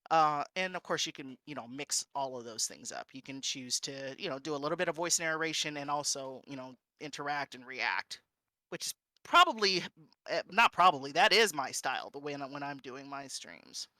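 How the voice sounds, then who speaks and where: A man presenting as a streamer in an informal instructional style, halting and often interrupting himself with "you know". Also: fairly high pitch, pushed and bright, no echo.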